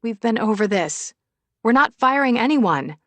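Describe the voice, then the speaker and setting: A woman's voice: a little high, expressive, no room sound, voice pushed up. Woman voicing a wry, stubborn young woman. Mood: firm. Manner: dry, brisk.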